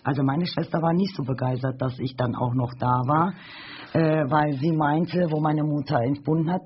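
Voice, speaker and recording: A woman's voice: low voice, slight background noise. A woman in her forties from Germany, chatting in a studio and telling family anecdotes at length, with lots of hesitation. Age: 40s. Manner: chatty, full of fillers and restarts while telling anecdotes. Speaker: studio guest. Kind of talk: casual storytelling chat. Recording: dull lo-fi radio recording.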